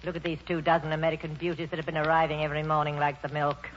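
An older actress in a 1940s radio play as a sarcastic, sharp-tongued old mother from the theatre. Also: low-pitched, flat delivery, racing, lightly acted. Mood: mocking. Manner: tart, scoffing. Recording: muffled, noisy old radio broadcast.

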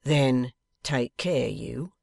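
A man acts out a sly Victorian bully for an audiobook. The rogue sneers and blusters with impudent contempt, in rough colloquial phrases. The recording is dry and close. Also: medium pitch, drawn-out words, no echo, exaggerated voice. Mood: smug. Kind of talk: dramatised audiobook character voice.

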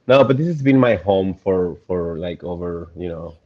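A man from Argentina, a guest talking casually about his life, relaxed and trailing off softly at the ends of phrases. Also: sits low, normal speed, lo-fi sound. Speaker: interview guest. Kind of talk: casual conversation.

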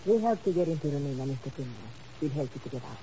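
Man playing an earnest underground helper, very mellow. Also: fairly high pitch, expressive, racing, close to an everyday voice. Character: earnest underground helper in Cold War intrigue. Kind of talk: 1950s radio drama acting. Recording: muffled, hissy old radio recording.

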